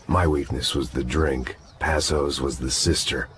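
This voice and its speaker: An actor playing a world-weary, cynical American ex-cop, narrating a noir video game in a deadpan, sardonic way. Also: male voice, rumbling low, a little slow, slight background noise. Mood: bitter, sardonic. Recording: loud music under the voice.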